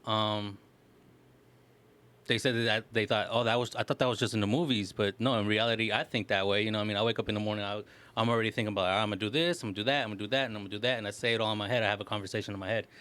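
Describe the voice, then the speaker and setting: A man hosting a casual podcast discussion, putting questions to his friends in relaxed slang. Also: on the low side, very fast. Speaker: podcast host posing the topic.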